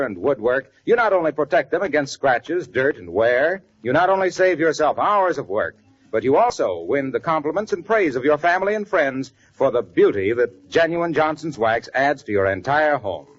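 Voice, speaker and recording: A man's voice: a little high, sing-song, normal speed. A male announcer reading scripted 1940s radio copy and sponsor ads in a polished, salesmanlike delivery. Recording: muffled vintage radio recording.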